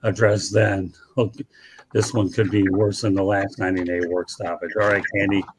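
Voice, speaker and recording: A slightly hoarse man hosting a casual sports talk show, chatty and jokey as he hands the floor to callers. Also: sits low, level tone, normal speed, a little hiss or hum. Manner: chatty, jokey, moves the panel along.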